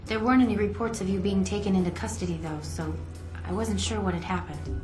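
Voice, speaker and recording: Female game role, actress: concerned, inquisitive ally, middle-pitched, earnest delivery, roomy with music behind. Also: quick pace, natural voice. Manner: earnest, questioning. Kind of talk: video game cutscene dialogue.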